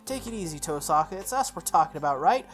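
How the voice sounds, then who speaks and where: A woman voicing a brash, loud teenage schoolgirl in a visual novel. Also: fairly low pitch, expressive, quick pace, soft background music, lightly acted. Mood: wheedling.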